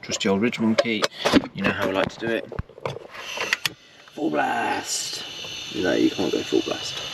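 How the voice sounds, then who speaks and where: Deep-voiced English man chatting in a van. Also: very animated, unhurried, gravelly. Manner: chatty, self-mocking asides to viewers. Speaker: vanlife vlogger. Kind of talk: casual cooking vlog narration. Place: inside a small camper van. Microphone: camera mic across a small table. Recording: distant mic with loud background noise.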